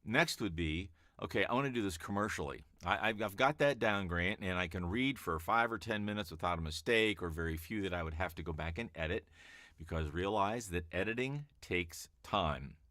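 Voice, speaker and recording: A man coaching voice-over hopefuls in a relaxed, chatty teaching talk with a calm, easy-to-listen-to voice. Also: low voice, moderate pace, no room sound, studio quality. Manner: relaxed and chatty, with fillers and restarts. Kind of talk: casual teaching vlog.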